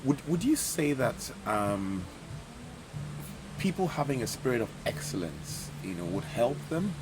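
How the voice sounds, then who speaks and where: A man's voice: medium pitch, big pitch swings, a little slow, over music, poor audio. A man hosting a podcast interview, asking questions and affirming often. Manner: frequent backchannel affirmations. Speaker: podcast host. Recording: audible background noise.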